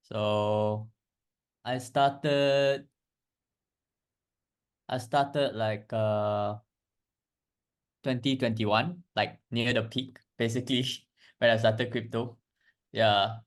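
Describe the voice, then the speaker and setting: A twenty-two-year-old man from Malaysia chatting casually as a podcast guest, halting and repeating himself. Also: mid-range voice, steady tone. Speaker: podcast guest, crypto trader. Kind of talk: casual chat.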